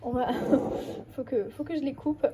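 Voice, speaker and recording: A woman with a stuffy, hoarse voice from a cold, chatting casually in a book vlog and rambling with lots of hesitations. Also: sits high, a little slow, noisy background. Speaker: book vlogger.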